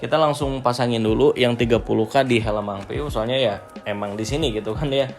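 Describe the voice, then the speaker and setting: A man's voice: medium pitch, brisk, faint music behind. A man giving a casual, off-the-cuff product review, hesitating often with filler phrases. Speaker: vlog host reviewing gear.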